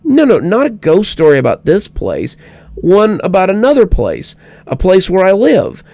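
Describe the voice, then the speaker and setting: Man voicing a pleading little girl, high-pitched. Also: huge pitch swings, creaky, mellow, dry recording, heavily acted. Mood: eager, bargaining. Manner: halting, stammering, old beyond her years. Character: little six-year-old ghostly girl, pleading, eager storyteller.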